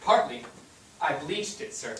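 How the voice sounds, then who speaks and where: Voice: medium pitch, sing-song, unhurried. A performer playing a polite, defiant twelve-year-old immigrant boy in a staged reading. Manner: respectful, clipped answers, stubborn when pressed. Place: theatre stage. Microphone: distant microphone. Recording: distant, echoey stage with noise.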